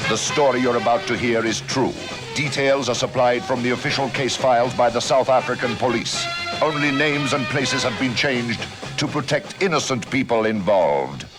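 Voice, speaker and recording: A man's voice: mid-range voice, moderate pace. A man introducing an old radio drama series as its announcer, in an emphatic, portentous style. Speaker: programme announcer. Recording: vintage radio recording. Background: loud theme music and vehicle sounds.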